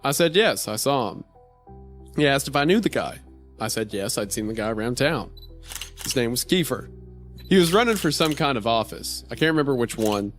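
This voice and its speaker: Deadpan night-shift clerk, read by a man, dry first-person horror storytelling, medium pitch, music underneath. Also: moderate pace, lightly acted. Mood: dry, matter-of-fact. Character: deadpan gas station night clerk narrating strange events. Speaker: podcast host reading a horror story aloud. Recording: music behind the voice.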